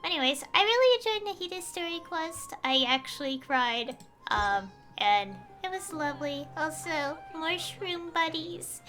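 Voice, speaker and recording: Girl streamer rambling casually over game music, bright high voice. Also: expressive, measured pace, hardly any pauses. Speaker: game livestreamer. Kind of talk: casual livestream commentary.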